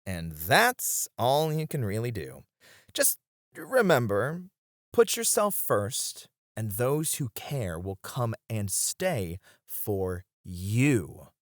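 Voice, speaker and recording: A man playing a flirty, supportive club host in an ASMR roleplay, speaking in a gentle, reassuring way. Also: mid-range voice, sing-song, slow, no room sound, studio quality. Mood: gentle, encouraging. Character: flirty, supportive male club host comforting his friends.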